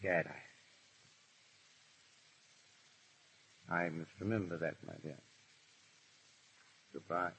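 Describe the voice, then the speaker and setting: An actor with an English accent playing a foppish, witty aristocrat hiding deep feeling, with urbane banter in a 1930s radio drama. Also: male voice, moderate pace, fairly bright tone, slight background noise. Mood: breezy, affectionate. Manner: languid, urbane, drawling banter. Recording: muffled vintage 1930s radio recording.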